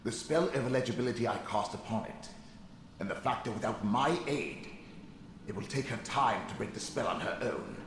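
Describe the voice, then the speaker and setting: A man's voice: lowish voice, normal speed, natural voice. A man playing the bitter, sardonic ghost of a murdered wizard in a video game, with a weary, theatrical delivery. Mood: weary, grave. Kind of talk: video game character acting. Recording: long ghostly reverb, some noise.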